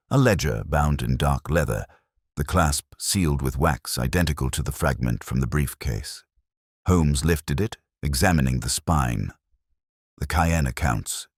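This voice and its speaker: A man reading a scripted first-person detective story in a measured, literary manner. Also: very deep voice, slightly rough, dry recording, studio quality. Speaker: audiobook narrator.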